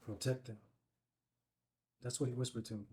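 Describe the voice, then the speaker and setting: A man's voice: mid-range voice, little pitch movement, moderate pace, darkish tone, close to an everyday voice. A man playing a folksy, plainspoken man earnestly recalling a haunting night in a table read. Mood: earnest, reflective.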